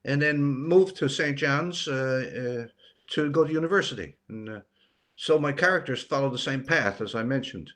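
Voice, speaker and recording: An older man from Newfoundland answering interview questions reflectively, pausing often to search for words. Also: medium pitch, a bit bright. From rural Newfoundland, Canada. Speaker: author and retired teacher as guest.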